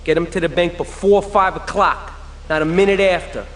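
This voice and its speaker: An actor playing a confident young mobster mechanic in a video game, with a slangy, streetwise delivery. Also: male voice, high voice, steady tone, moderate pace, big-room sound, lightly acted. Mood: assured, businesslike. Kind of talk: video game cutscene acting.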